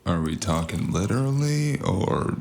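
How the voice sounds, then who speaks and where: Exhausted stubborn mercenary played by a man: extremely low, gravelly fry, flippant weariness, audio drama. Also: big pitch swings, a little slow, darkish tone, a little hiss or hum, full character voice. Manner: weary, flippant.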